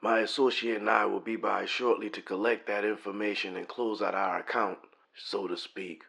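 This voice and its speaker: A man playing a smooth-talking mob enforcer in an audio drama, husky and gravelly, polite with a veiled threat. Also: on the low side, little pitch movement, normal speed, pushed and bright, exaggerated voice. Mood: coolly menacing.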